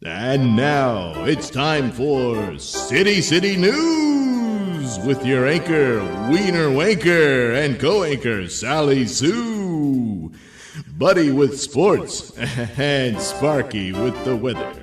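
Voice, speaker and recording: A man's voice: mid-range voice, very animated, drawn-out words, over music. A man narrating a comedy audio play in a mock-dramatic, storytelling way. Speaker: narrator and performer.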